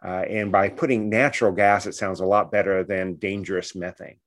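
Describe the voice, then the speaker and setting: Low-pitched man explaining as interview guest. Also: fairly even intonation, a little fast. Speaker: expert guest. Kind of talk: explanatory radio interview talk.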